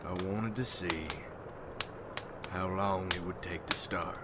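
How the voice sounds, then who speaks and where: A man playing a gruff, hardened vampire hunter in an ASMR roleplay, speaking in folksy rustic slang as he mentors a newcomer. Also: sits low, steady tone, slow, heavy background noise. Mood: grim, matter-of-fact.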